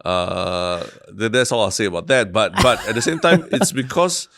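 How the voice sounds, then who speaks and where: A man's voice: on the low side, moderate pace, noisy background. A young man with a Singaporean English accent, co-hosting a podcast in a rambling, casual way with many restarts. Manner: rambling, frequent restarts and "lah" endings. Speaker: podcast co-host. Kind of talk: casual chat.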